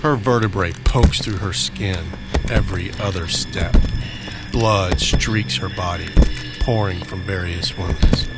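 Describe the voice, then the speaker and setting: Low-voiced male drama narrator over music. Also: takes it slowly, low-quality recording. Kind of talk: scripted narration of a horror mystery audio drama.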